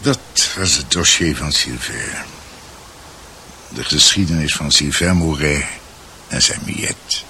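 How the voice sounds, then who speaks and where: An actor playing an older doctor in a radio drama, musing hesitantly and in a measured way over his family's history, with a gravelly voice. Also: rumbling low, bright, forceful tone, slight background noise, exaggerated voice. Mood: wistful. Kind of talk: Dutch radio drama acting.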